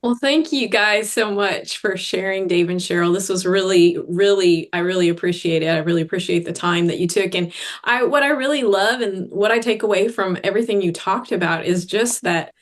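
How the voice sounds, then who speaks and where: A woman's voice: medium pitch, moderate pace. An appreciative woman hosting a training session. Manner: effusive, appreciative. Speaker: host introducing speakers. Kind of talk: spoken introduction and thanks at a training session.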